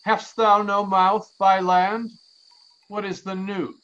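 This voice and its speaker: High-voiced, effusive man as an old counsellor. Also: level tone, slow, fairly bright tone, muffled recording. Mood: excited scolding. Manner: effusive, declamatory. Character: devout, garrulous, kindly old counsellor. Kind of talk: Shakespearean radio play acting.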